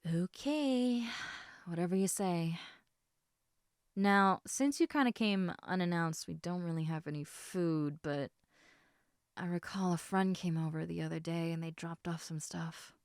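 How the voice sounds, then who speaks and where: Girl doing a laid-back teasing lamia for audio rp, kinda low voice, rambly and casual, super dry sound. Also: no echo. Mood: playful teasing, then casual, hesitant. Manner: chatty, informal, halting with trailing thoughts. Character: sleepy, teasing half-human half-snake lamia woman, affectionate and easygoing. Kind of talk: casual intimate audio roleplay addressed to listener.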